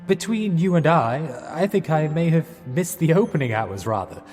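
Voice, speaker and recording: A man's voice: fairly high pitch, lively intonation, normal speed, a little mellow, close to an everyday voice. An actor playing a genial, dim young upper-class Englishman in 1920s radio theatre, hesitant, bumbling and mild-mannered. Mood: mild, bemused. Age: young adult. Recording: long echoing reverb, music underneath.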